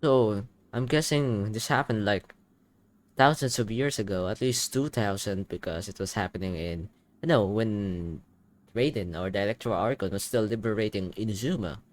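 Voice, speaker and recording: A man's voice: fairly low pitch, moderate pace. A young man giving casual, informal gaming reaction commentary, thinking aloud as he goes. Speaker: gaming reaction video host.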